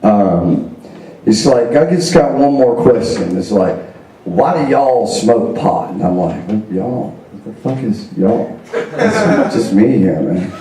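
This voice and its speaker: A man's voice: on the low side, sing-song, takes it slowly, mellow. A male comedian telling a casual, profane story in a slangy, rambling stoner way, recorded on an echoey club stage. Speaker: comedian guest on a live show. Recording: echoey live club stage, distant mic.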